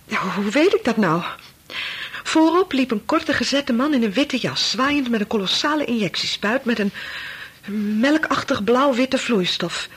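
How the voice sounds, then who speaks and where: Radio drama wife: nervy, hesitant, strongly husky voice pitched a little high. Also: female voice, animated, unhurried. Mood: agitated recounting. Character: anxious wife recounting a frightening dream, fretful. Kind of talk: radio play acting.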